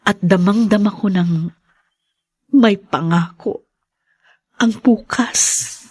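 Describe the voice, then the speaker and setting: A woman's voice: medium pitch, slow pace, darkish tone, husky, no room sound, clean studio sound. An actress playing an earnest, sentimental young Filipino woman in a radio drama, once heartbroken and now tender. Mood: tender, grateful.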